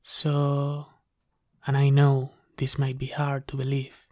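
A man playing an obsessive, polite vampire lab technician in a slow, intimate ASMR roleplay, speaking softly and haltingly. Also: mid-range voice, monotone, dry recording, studio quality, lightly acted. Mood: admiring, secretive. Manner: hushed, halting, deliberately polite. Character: soft-spoken obsessive male vampire lab technician, courteous, menacing.

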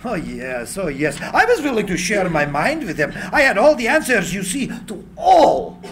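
A man's voice: high voice, huge pitch swings, moderate pace, a bit bright, a little hiss or hum, heavily acted. An older man reading the part of an elderly eccentric inventor, theatrical and scornful, at a live script reading. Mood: wistful. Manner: theatrical, scornful outbursts and laughing asides. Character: elder Tesla, proud eccentric old male inventor. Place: small room with seated audience. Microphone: far camera.

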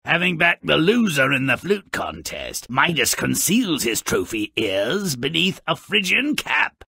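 Video game role: morbid dwarf storyteller, wildly swooping delivery, gravelly and mocking, relishing every gruesome word. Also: male voice, on the high side, wildly swooping pitch, unhurried, bright, forceful tone, no room sound, studio quality, exaggerated voice. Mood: wry storytelling. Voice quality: raspy, gravelly. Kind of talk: theatrical scripted video game narration.

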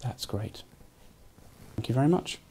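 Mellow young British male doctor. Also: mid-range voice, animated, measured pace, slightly rough. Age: young adult. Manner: courteous, frequently thanking. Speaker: doctor, neurology registrar. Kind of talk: polite clinical exam instructions. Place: small hospital consulting room. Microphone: camera across the room.

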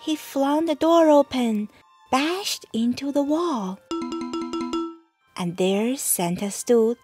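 A woman with a fairly high voice reads a rhyming bedtime story. She goes very slowly and deliberately, giving each phrase expression, with faint music behind her. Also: animated, a little mellow, breathy edge. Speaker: mother reading a picture book aloud. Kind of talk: bedtime story read-aloud in rhyme.

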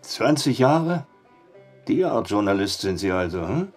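A man's voice: lowish voice, sing-song, a little slow, a little mellow, husky, faint music behind, exaggerated voice. An actor playing a dry, skeptical male barkeeper in an audio drama. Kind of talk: German audio drama acting.

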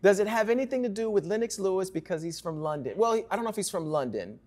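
A man's voice: sits high, quick pace. A man in his forties with a Ghanaian accent, hosting a studio talk show with playful, teasing banter. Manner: joking, teasing, lively banter. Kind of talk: casual playful interview. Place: small indoor TV studio set.

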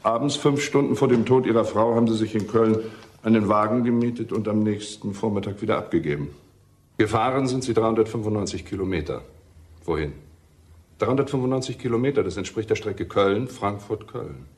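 An actor playing a relentless, sardonic police detective in a crime radio drama, deliberate and accusing with dry irony. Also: male voice, lowish voice, a little mellow, close to an everyday voice. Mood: methodical pressing.

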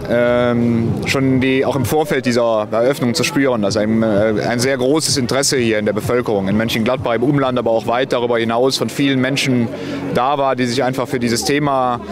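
A man in his fifties speaks hesitantly into a reporter's mic outdoors, pausing with fillers. His voice sits in a middle register, and music runs clearly under the clip. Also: mid-range voice, fairly even intonation. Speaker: interviewee, event hangar manager. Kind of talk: spontaneous interview answer. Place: outdoors at an airfield.

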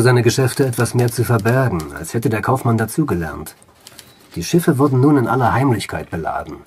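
An actor playing a sober man recounting an old investigation in an audio drama, his voice very husky. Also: medium pitch, a little mellow, a little hiss or hum, natural voice.

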